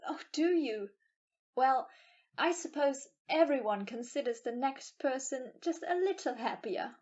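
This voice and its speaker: Woman acting a high-voiced, timid young lady passenger. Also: lively intonation, unhurried, highs cut off. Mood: reserved, uneasy. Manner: quiet, hesitant. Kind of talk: audio play acting.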